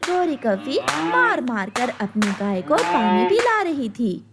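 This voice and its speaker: A woman's voice: fairly high pitch, very animated, slow pace, heavy background noise. A woman reading a scripted children's cartoon story as narrator.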